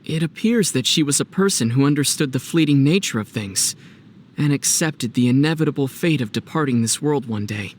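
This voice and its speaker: A man voices a youthful adventurer from a video game. He sounds measured and thoughtful, with a gently teasing edge and a middling pitch. Faint background noise sits under the voice. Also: expressive, lightly acted. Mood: reflective.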